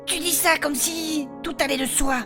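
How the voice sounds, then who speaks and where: A woman's voice: high voice, steady tone, moderate pace, lightly acted. A woman playing a worried, tender adventurer, hesitant and earnest, in a fantasy audio drama. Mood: anxious. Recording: faint music underneath. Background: music.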